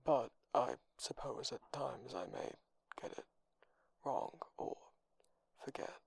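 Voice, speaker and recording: Man voicing a slow, whispery deadpan robot boyfriend. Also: mid-range voice, creaky, slightly rough, dry recording, pitched below the performer's own voice, exaggerated voice. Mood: deadpan, explanatory. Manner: flat emotional delivery, measured, formal. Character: dry, deadpan male robot computer boyfriend. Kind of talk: ASMR roleplay.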